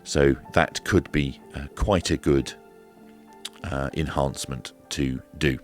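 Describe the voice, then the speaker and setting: A man with an extremely low, deep voice presents a hands-on gear demonstration. He explains things in a measured, matter-of-fact way, with faint music in the mix. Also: fairly even intonation. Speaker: presenter of a radio equipment review. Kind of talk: hands-on demonstration and review commentary.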